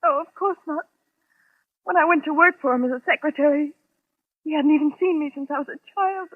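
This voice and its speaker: A woman's voice: high voice, a little fast, no room sound, natural voice. An actress playing a cold, bitter young secretary with anguish under her control, in intense 1940s radio melodrama style. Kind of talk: 1940s radio drama acting. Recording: muffled, band-limited vintage radio recording.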